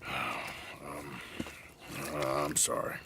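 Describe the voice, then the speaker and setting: Worn out sick cowboy outlaw, deep gravelly american western drawl, halting, game cutscene. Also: male voice, level tone, background noise, heavily acted. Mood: weary, regretful. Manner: halting, plain-spoken. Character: weary, ailing male outlaw gunman, gruff but regretful. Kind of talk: video game cutscene acting.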